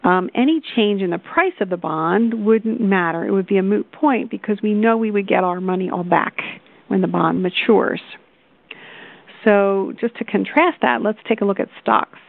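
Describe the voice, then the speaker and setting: A woman giving a measured explanatory talk as a financial advisor on a conference call, posing rhetorical questions over a telephone line. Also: mid-range voice, gravelly, no echo. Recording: tinny, narrow phone line.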